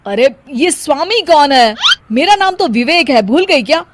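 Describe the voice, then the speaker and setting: A woman voicing an exasperated, bossy young husband in a Hindi cartoon story, loud and complaining. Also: high voice, lively intonation, moderate pace, noisy background, voice pushed up. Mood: irritated.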